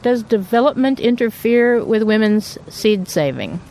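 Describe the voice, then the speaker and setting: A woman radio host reading a scripted introduction calmly and asking interview questions. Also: on the high side, unhurried, a little hiss or hum.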